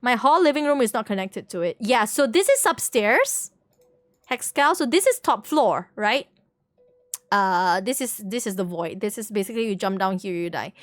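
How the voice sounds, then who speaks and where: A woman's voice: mid-range voice, lively intonation, normal speed, no echo, studio quality. A woman livestreamer explaining things casually to viewers in a rambling, playful way, repeating words and correcting herself as she goes. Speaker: livestream host.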